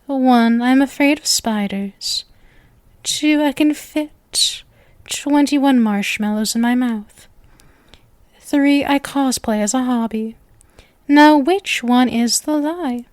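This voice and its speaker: A woman's voice: high voice, slow, clear, resonant tone, no echo. A woman voicing a sweet, lovestruck, clingy witch girlfriend in an ASMR roleplay, speaking to the listener in a coy, nervous, gushing and intimate manner. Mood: playful, coy. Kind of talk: ASMR roleplay, scripted acting addressed to listener.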